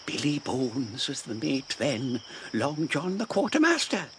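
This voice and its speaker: A man's voice: mid-range voice, very animated, a little slow, fairly bright tone, a little hiss or hum. A man playing a ragged, half-crazed old marooned sailor in an audio drama, rambling and wheedling in rustic pirate dialect. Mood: storytelling. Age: older.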